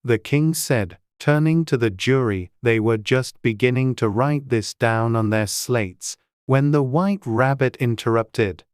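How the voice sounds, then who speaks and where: An audiobook narrator telling a dramatized storybook tale. The voice sits at a middling pitch, and the recording is close and dry, free of echo. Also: unhurried, few pauses, clean tone.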